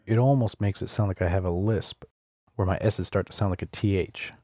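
A man narrating a screencast software tutorial in a casual, explanatory way, close to a studio microphone. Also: sits low, fairly quick, no echo. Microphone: close studio voice-over microphone.

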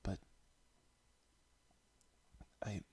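A man whispering the lines of a caring male fox, soft and gentle. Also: extremely slow, slight background noise.

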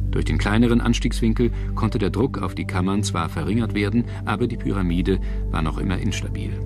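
A man reading scripted documentary narration in a measured, formal manner. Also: lowish voice. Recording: music bed under narration. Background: background music.

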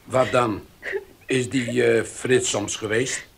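An actor playing a calm, protective middle-aged father soothing his daughter in a 1970s radio play. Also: medium pitch, takes it slowly, full character voice. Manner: soothing, gruff.

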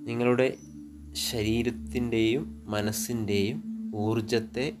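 A man with a Malayali accent guiding sleep hypnosis meditation, soothing and unhurried, pausing between short phrases over relaxing music. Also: mid-range voice, flat delivery. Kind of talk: guided sleep hypnosis narration. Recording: relaxing music with singing bowls behind.

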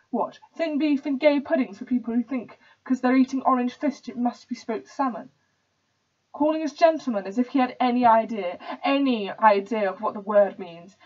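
An arrogant young upper-class Englishman rants with sneering indignation, acted out by a young woman. The voice is very high, in a posh put-on accent. It is a stage monologue on a slightly dull, lo-fi recording. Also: far from the mic, close to an everyday voice. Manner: sneering, indignant, sweary ranting. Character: arrogant posh young male club member, sneering and enraged. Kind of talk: dramatic stage monologue reading.

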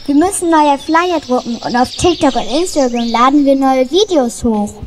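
A girl playing a high-voiced grumpy dwarf. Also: normal speed, slight background noise, close to an everyday voice. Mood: excited. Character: nasty dwarf, male, grumpy turned eager. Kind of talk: children's radio play acting.

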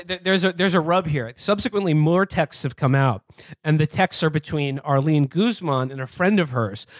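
A man's voice: on the high side, no echo. A man hosting a podcast, talking casually and comedically as he reads material aloud. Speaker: podcast host.

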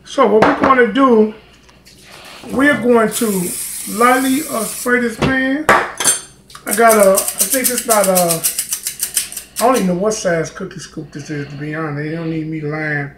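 A man's voice: high voice, a little slow, long pauses. Middle-aged man, chatty home-kitchen cooking host, talks to his camera mic in a roomy kitchen. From Chicago, United States. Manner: chatty, rambling, encouraging, with lots of fillers. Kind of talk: casual cooking tutorial. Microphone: camera mic, filming himself. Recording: echoey kitchen with faint noise.

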